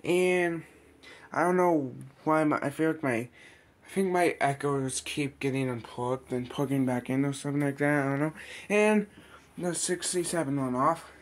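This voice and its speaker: A man giving casual, halting vlog commentary in a small room on a handheld camera, rambling through a list. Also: fairly high pitch. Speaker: weather radio hobbyist vlogger. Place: small bedroom, indoors. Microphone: handheld phone or camera.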